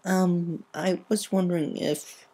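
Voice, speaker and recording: A woman's voice: fairly low pitch, steady tone, slow pace, warm tone, breathy edge, pitched above the performer's own voice. A woman voicing a shy, nervous young Pegasus filly who stammers, hesitates and sounds apologetic.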